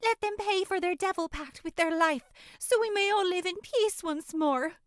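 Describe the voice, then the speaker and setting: Shrill-high superstitious village lady. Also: normal speed, no echo, studio quality. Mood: vindictive. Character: gullible, fearful, superstitious village woman. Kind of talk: horror roleplay audio drama.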